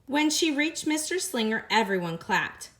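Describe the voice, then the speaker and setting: A woman reads a children's picture book aloud to her class, her voice a touch higher than most. She tells it expressively, drawing out repeated phrases slowly and brightening for the little girl's spoken lines. Her tone is that of a classroom teacher sharing a story. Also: big pitch swings. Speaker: teacher reading to students.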